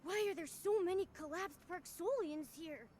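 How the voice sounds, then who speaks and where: Very high, tender little companion. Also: female voice, moderate pace, a little mellow, slight background noise. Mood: worried, pleading. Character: small, tender-hearted, pleading companion to the heroine. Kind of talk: video game voice acting.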